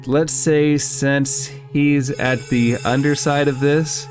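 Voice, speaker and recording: A man's voice: a little high, level tone, slow pace, soft background music. A man acting as game master, narrating and explaining rules in a conversational way during a tabletop role-playing game.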